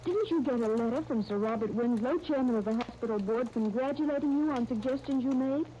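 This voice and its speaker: Actress as an earnest young niece, muffled old radio. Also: female voice, a little high, fairly quick, mellow, natural voice. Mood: earnest, encouraging. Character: earnest, encouraging young niece, Sunday, in wartime England. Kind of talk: 1930s radio serial acting. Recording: muffled, noisy 1939 radio transcription disc.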